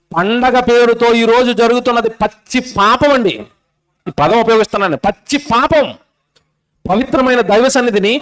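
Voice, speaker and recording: A male preacher delivers a fervent sermon in an extremely high-pitched voice. He talks quickly, pressing the gathering with scolding rhetorical questions and urgent exhortation.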